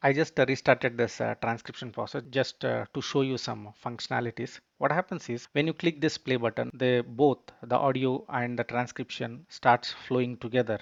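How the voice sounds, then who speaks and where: A man presents a software walkthrough, explaining step by step with some hesitation. His voice is dry and close, on a slightly dull, muffled recording. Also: medium pitch, steady tone, normal speed, gravelly, few pauses, dry recording. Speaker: tutorial presenter. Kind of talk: screencast software tutorial narration.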